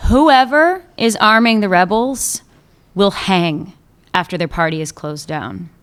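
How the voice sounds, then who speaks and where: A woman voicing a shrewd, tenacious female police chief in a radio play, speaking in a cool, measured way. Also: medium pitch, fairly bright tone, smooth, close to an everyday voice. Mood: probing.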